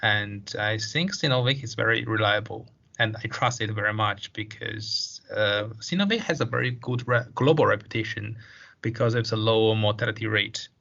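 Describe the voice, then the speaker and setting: A man's voice: lowish voice, moderate pace, creaky, bright, forceful tone. A man in his twenties answering interview questions, hesitant and full of fillers. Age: 20s. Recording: dull lo-fi sound.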